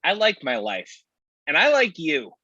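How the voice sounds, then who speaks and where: Actor playing mild male roommate, dragging pace, bright tone, earnest and hurt, slightly muffled table read. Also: on the high side, huge pitch swings, unhurried, husky, heavily acted. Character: earnest, hurt, slow-talking man, mild-mannered roommate. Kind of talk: live table read of a screenplay. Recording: slightly muffled online call.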